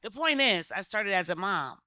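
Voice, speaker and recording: A woman's voice: medium pitch, animated, normal speed, sharp tone, no room sound, studio quality. A middle-aged American woman from Connecticut speaking as a legislator on a panel, conversational and often interrupting herself. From Connecticut, United States. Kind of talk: panel discussion, personal anecdote.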